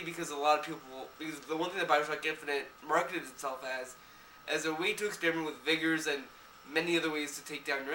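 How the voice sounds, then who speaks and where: A man's voice: a little high, sharp tone, reverberant, far from the mic, a little hiss or hum, poor audio. A young man, a little sick, giving a rambling casual review of a game, with many restarts and fillers.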